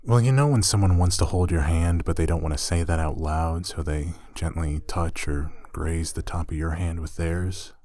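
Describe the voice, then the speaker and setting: Deep-voiced, easygoing roommate guy, acted by a man. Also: moderate pace, hardly any pauses, mellow, close to an everyday voice. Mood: soft, sheepish. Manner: casual, hesitant, self-correcting, dry teasing. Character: easygoing, teasing, slightly flustered male roommate of a catgirl. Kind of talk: ASMR roleplay acting, conversational.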